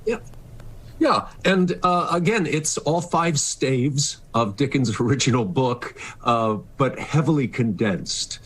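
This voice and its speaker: A man's voice: medium pitch, animated, slow, a bit bright, breathy edge. A middle-aged or older man, a stage actor, talking earnestly and expressively as an interview guest on a remote call. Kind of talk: casual reflective interview talk. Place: remote video call, not in the studio.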